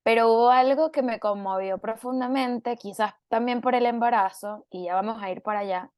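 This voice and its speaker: A hoarse woman with a Venezuelan accent, a photojournalist giving a relaxed, unhurried talk over a video call, with many filler pauses. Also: fairly high pitch, no echo, studio quality. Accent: Venezuelan Spanish. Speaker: photojournalist guest speaker. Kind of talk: relaxed talk on an online panel.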